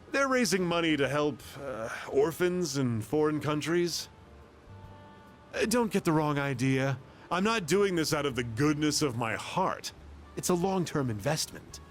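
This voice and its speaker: A man playing a boastful, self-important rich schemer with a pompous, defensive manner in an anime game. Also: fairly high pitch. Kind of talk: anime game dub acting.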